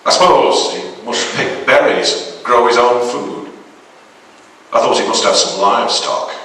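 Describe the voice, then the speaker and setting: A man's voice: lowish voice, sharp tone, a little hiss or hum. A man giving a dramatic reading of a macabre short story as a storyteller. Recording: very echoey hall, distant microphone.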